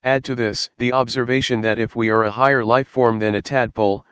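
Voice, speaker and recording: A man reading a scripted Bible study in a measured, earnest, explanatory way. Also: mid-range voice, fairly even intonation, a bit bright, clean tone, no echo. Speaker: Bible teacher.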